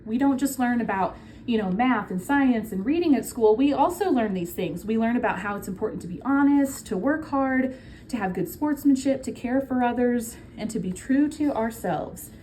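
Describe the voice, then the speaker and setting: A woman's voice: a little high, expressive, moderate pace, warm tone, a little hiss or hum. A woman reading a children's book aloud like a teacher, explaining simply and prompting her listeners with questions.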